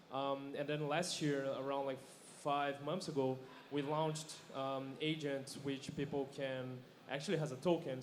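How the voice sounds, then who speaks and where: A man speaking informally and haltingly as a panelist at a conference. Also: medium pitch. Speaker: panelist, software company founder. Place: stage at a conference. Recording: faint event background noise.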